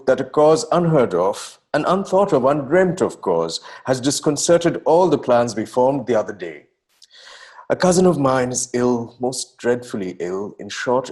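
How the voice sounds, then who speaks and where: An impassioned young poet of the nineteenth century, acted by a man, declaiming his letters in a dramatic reading. His delivery is florid and melodramatic, with a very animated pitch that rises and falls freely. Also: close to an everyday voice.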